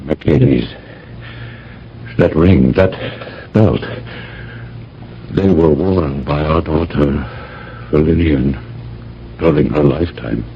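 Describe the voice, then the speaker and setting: A man acting as a grave, hesitant older father in an old-time radio drama, solemn and measured. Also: extremely low pitch, heavily acted. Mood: grave, doubtful. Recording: dull, noisy old radio recording.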